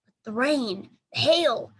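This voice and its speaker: A woman teacher reading a children's storybook aloud in expressive narration. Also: fairly high pitch, slow. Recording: clear background noise.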